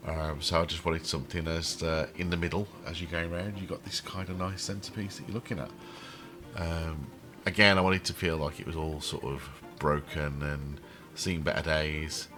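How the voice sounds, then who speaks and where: A man's voice: rumbling low, flat delivery, normal speed, music in the background. A man with a cold and a throaty voice, rambling with many ums as he comments casually over his game building. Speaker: channel host building a theme park in a game.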